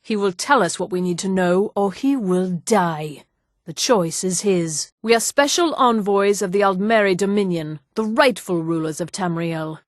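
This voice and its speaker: An actress playing a haughty female high elf in a fantasy video game, speaking in a measured, condescending way. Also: dry recording, clean studio sound, close to an everyday voice. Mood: haughty, cold.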